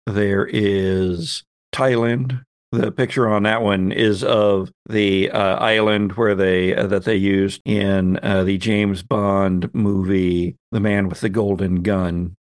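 A man acting as game master, narrating a tabletop story off the cuff with measured, hesitant pauses. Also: on the low side, little pitch movement, clean tone, no room sound.

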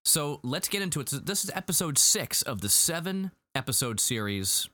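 A man hosting a podcast, chatting casually about a show with friends, relaxed with lots of fillers and restarts. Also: fairly high pitch, normal speed. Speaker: podcast host. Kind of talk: casual review chat.

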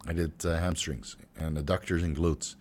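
A young man hosting a casual livestream Q&A, joking and swearing freely, with his voice turning hoarse and stuffy. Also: extremely low pitch, steady tone, moderate pace, a little mellow. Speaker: host answering viewer questions.

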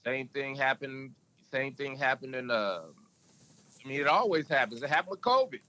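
A man's voice: expressive, normal speed, pushed and bright. A man guest on a podcast, talking in a casual, emphatic, storytelling way. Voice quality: can go deep. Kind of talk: casual interview talk.

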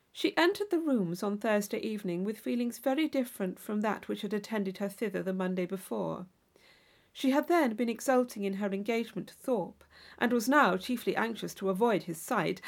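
Female audiobook reader, medium pitch, formal and expressive narration of classic fiction. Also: vocal fry. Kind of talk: scripted literary narration.

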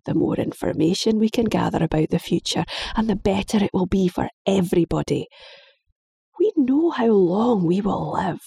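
A woman's voice: medium pitch, creaky, dark tone, breathy edge, no room sound, studio quality, full character voice. A woman voicing a stern, weary middle-aged father, lecturing patiently but firmly.

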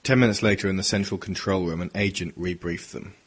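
A man with a husky voice reading dramatic scripted narration for an audio drama. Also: sits low, fairly even intonation, brisk.

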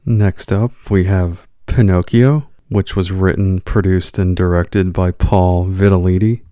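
A man's voice: low voice, warm tone, smooth, dry recording, clean studio sound. A man reading scripted introductions as a podcast announcer.

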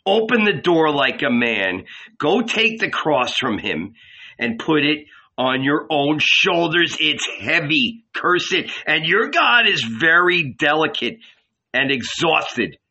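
Storybook innkeeper, played by a male narrator: coarse, angry, blunt swagger, sharp forceful delivery. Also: a little high, big pitch swings, slow, muffled recording, pitched above the performer's own voice, full character voice. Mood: brash, goading. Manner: bellowing, cursing, scornful.

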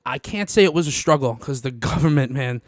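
A young man hosting a podcast in casual studio chat, joking and excitable, laughing often. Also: mid-range voice, brisk, no echo. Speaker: podcast host. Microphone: studio mic.

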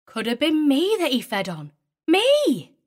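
A boisterous innkeeper's wife , acted by a woman for an audio story, with a fairly high and very lively voice. She is bossy and exclamatory, full of grievance. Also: a little slow, heavily acted. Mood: indignant. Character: loud, aggrieved, bossy middle-aged innkeeper's wife.

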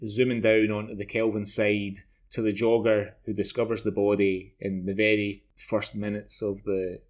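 Low-voiced Glaswegian man. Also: a little mellow. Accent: Glaswegian Scottish. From Glasgow, Scotland. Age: 40s. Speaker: podcast co-host. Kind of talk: casual discussion of television.

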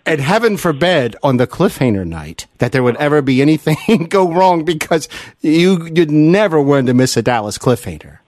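A man's voice: a little high, very animated, moderate pace, a little mellow, no echo, studio quality. A middle-aged American man hosting a conversational interview in an earnest, effusive, wordy way. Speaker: podcast host interviewer.

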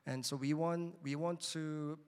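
A man speaking in a measured, hesitant way with frequent fillers as a missionary at a church mission presentation. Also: fairly high pitch, steady tone, darkish tone, clean tone. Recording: long hall reverb.

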